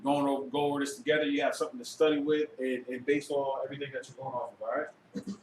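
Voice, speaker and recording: A man's voice: medium pitch, little pitch movement, quick pace, a little mellow. Man teaching informally in an echoey room. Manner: conversational preaching, repeats phrases for emphasis, frequent tag phrases. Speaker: religious teacher leading a language class. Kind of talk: live informal lesson with exhortation. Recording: roomy echo, faint background noise.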